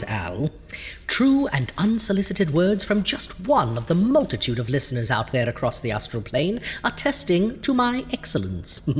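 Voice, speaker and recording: A woman's voice: low voice, long reverb tail, slight background noise. A woman playing a vain, pompous ghoulish horror host in a campy audio show, with florid theatrical delivery.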